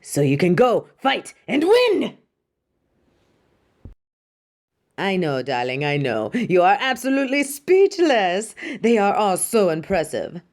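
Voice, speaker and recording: A woman's voice: on the low side, a little mellow. A woman playing a proud, exacting yet fond superhero costume designer in a scripted roleplay, speaking in a grandiose, dramatic way. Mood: triumphant, teasing. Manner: grandiose, dramatic, addresses listener as darling. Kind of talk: scripted roleplay audio monologue.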